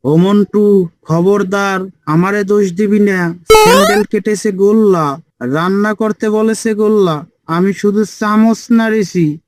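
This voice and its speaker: Casting: male actor for cranky, exclamatory village granddad in comedy cartoon, raised pitch, faint noise and clicks. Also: lively intonation, a little slow. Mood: aggrieved. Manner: complaining, exclamatory. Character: grumbling, easily outraged old village grandfather.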